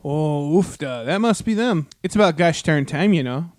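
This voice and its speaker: Man doing a folksy Minnesotan elf, smooth and highish. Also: lively intonation, normal speed, no room sound, clean studio sound, close to an everyday voice. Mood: welcoming. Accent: exaggerated Minnesotan. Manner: folksy, full of hesitant friendly tag words. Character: folksy, apologetic Minnesotan elf host, male cousin. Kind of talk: comedy radio drama.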